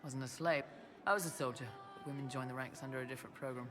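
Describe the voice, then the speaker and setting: A man's voice: mid-range voice, steady tone, fast, over music, lightly acted. A man voicing a blunt mercenary soldier. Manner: blunt, plainspoken soldier talk. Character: blunt, loyal male mercenary lieutenant. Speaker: mercenary soldier in a fantasy game. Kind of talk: video game cutscene dialogue.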